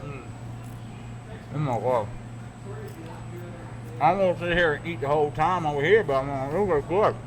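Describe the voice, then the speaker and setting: A man's voice: a little high, normal speed, long pauses, noisy background. A man hosting a podcast, joking through a casual chat over food. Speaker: podcast host.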